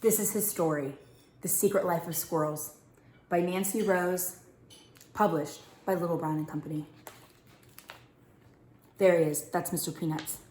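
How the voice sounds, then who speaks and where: A woman's voice: fairly low pitch, steady tone, unhurried, pauses often, a little mellow, a little hiss or hum. A woman working as a storyteller reads a picture book aloud expressively, addressing young children. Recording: roomy, echoing indoor sound.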